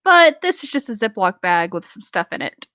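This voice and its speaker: A woman's voice: mid-range voice, big pitch swings, normal speed, bright, forceful tone, dry recording, studio quality. A woman casually reading a first-person story aloud and chatting between lines. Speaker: co-reader of an internet story.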